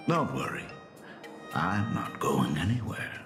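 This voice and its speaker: An aging video game father, gentle and wistful. His gravelly voice moves slowly, fond and a little formal, with music playing underneath. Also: sits low, full character voice. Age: older. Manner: sighing, unhurried, fond and formal. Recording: roomy echo, music behind.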